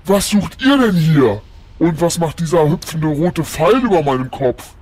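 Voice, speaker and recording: A man's voice: a little high, lively intonation, unhurried, a little mellow. A man playing a pompous demon lord of hell in a parody audio play, with grandiose, menacing villain speech that tips into whining. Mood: puzzled, self-important.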